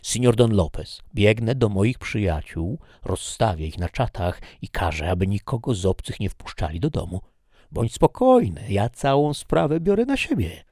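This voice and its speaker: A man's voice: fairly low pitch, very animated, moderate pace, a little mellow, no echo, clean studio sound. A man voicing an eager, boastful male busybody who takes charge of everything. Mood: excited.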